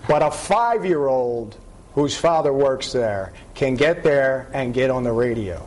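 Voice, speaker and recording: A man's voice: mid-range voice, animated, unhurried, darkish tone, a little hiss or hum, rough recording. A man in his thirties co-hosting a television panel, giving opinions emphatically and repeating his points. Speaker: TV morning show co-host. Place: TV studio, seated on couch.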